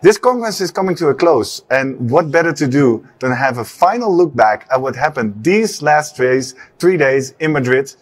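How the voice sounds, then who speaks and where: A man in his thirties hosting a live congress broadcast, quick and upbeat with many fillers, on a lapel mic in a studio set. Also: mid-range voice, animated. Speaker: congress host. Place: studio set with a long desk.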